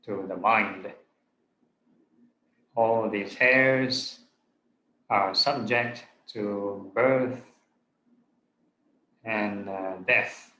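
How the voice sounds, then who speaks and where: A man leads a guided meditation as a monk and teacher, speaking very slowly in short, deliberate phrases. His voice is somewhat low and has a clearly bright edge. It comes through a roomy, echoing recording. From Singapore. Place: online video call session in a room. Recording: roomy echo, clear background noise.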